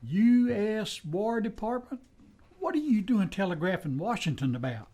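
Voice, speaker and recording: Man acting American western deputy, loyal, folksy, flustered, very animated pitch, radio drama. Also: moderate pace, heavily acted. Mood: shocked, flustered. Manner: folksy drawling talk, flustered.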